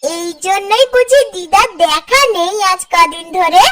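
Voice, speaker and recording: Very high-pitched village girl. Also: expressive, unhurried, over a noisy crowd. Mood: concerned. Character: eager, outspoken young village daughter. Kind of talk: Bengali cartoon fairy-tale voice acting.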